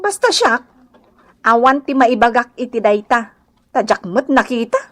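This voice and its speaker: A woman's voice: a little high, expressive, unhurried, dry recording, studio quality. A woman with an Ilocano accent playing a reproving, fair-minded village woman in a radio comedy drama.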